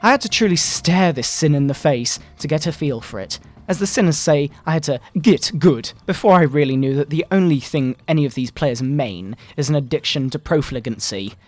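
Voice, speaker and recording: Man putting on a pompous, high-pitched fire-and-brimstone moral crusader. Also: animated, darkish tone, soft background music. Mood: indignant, preachy. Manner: pompous, melodramatic preaching with drawn-out words. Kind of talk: mock-dramatic satirical reading.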